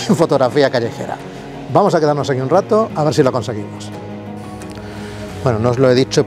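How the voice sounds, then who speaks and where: A man giving a casual photography lesson to viewers while standing on a city street, speaking directly to the camera and talking his way through his ideas. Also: mid-range voice. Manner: explanatory, addresses viewers directly, frequent "vale" and fillers. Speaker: photography vlogger. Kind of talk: casual instructional vlog on location.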